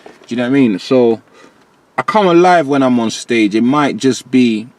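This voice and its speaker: Man, London accent, rapper guest, animated, slang-heavy, middling pitch. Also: normal speed. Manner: lively, rising pitch when quoting himself. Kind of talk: candid interview chat.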